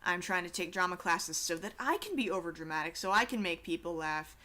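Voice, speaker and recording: A woman's voice: fairly low pitch, expressive, a little fast, pushed and bright. A teenage girl chatting casually while she draws, rambling and swearing with self-deprecating asides. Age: teens. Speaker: artist streaming a drawing session.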